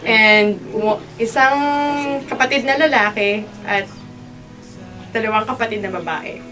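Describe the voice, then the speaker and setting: A woman's voice: a little high, a little slow, pushed and bright. A woman from the Philippines with a Filipino accent gives a hesitant testimonial. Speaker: church member giving testimonial. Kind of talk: hesitant testimonial, interpreted by partner. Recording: distant mic, faint music.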